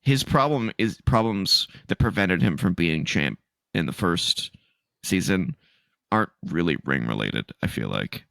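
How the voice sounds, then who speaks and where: A man co-hosting a casual podcast, stuffy and sniffly from allergies, loose and laughing, swooping high for emphasis. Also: very animated, a little slow, vocal fry, a bit bright, no room sound. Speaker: podcast co-host. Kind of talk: casual chat.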